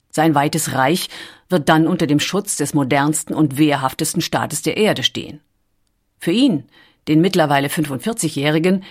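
A woman reading scripted documentary narration with a measured, dramatic storytelling delivery. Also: low-pitched, slightly rough.

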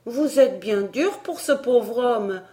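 A woman's voice: a little high, lively intonation, slow pace, dark tone, pitched above the performer's own voice. A woman voicing a curious, sensible young girl in a storybook, with an earnest, reasoning manner.

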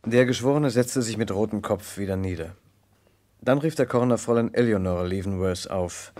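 An actor playing a composed first-person narrator of a murder inquiry in a vintage German radio crime drama. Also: male voice, fairly low pitch, fairly quick, darkish tone, close to an everyday voice.

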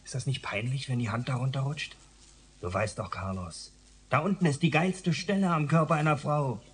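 A man's voice: mid-range voice, moderate pace, lightly acted. A man acting in a radio play as an aggressive, taunting police detective with a condescending, needling manner. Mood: goading.

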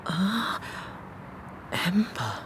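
A curious, hesitant woman in a radio drama. Her voice sits at a medium pitch, and she answers briefly and tentatively over faint noise. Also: big pitch swings, extremely slow.

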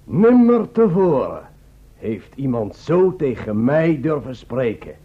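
Radio play brute: dim blustering castle lord, slow, halting, muffled recording. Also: male voice, mid-range voice, very animated, slightly rough, exaggerated voice. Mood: cowed, nervous. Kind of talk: radio drama acting.

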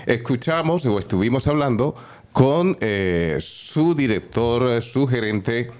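Male radio co-host, lively and promotional, medium pitch. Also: slow pace. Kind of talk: radio show hosting.

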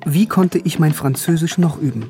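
Man playing bookish young student, slightly high voice, dry irony, precise, radio drama narration, music behind. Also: normal speed, mellow, close to an everyday voice. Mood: wry. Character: wry, bookish young East German man recalling his studies. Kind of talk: first-person radio drama narration and dialogue.

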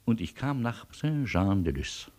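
An actor playing a wry, world-weary refugee in a German radio play, with theatrical irony. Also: male voice, lowish voice, sing-song, a little slow, darkish tone. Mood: wistful narration. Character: wry, world-weary male refugee, witty and self-sacrificing.

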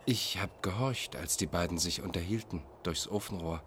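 An actor in a German radio play playing a menacing, insistent fugitive who pleads and insinuates. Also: male voice, deep voice, normal speed, fairly bright tone, a little hiss or hum. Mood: agitated, accusing. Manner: insistent, brazen, formal address.

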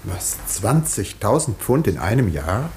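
An actor in a 1950s German radio play playing a sober, reserved village doctor, with measured, dry, understated delivery. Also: male voice, lowish voice, very animated, noisy background. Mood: surprised. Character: sober, reserved male village doctor who narrates dryly.

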